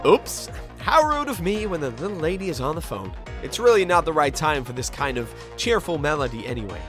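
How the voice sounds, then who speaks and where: Someone doing a ghost detective thinking out loud, polite and curious, animated mid voice, music behind. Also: medium pitch, brisk, close to an everyday voice. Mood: musing. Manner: reflective, polite, talking to themself. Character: curious, musing amnesiac spirit detective. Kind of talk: game fandub narration and dialogue.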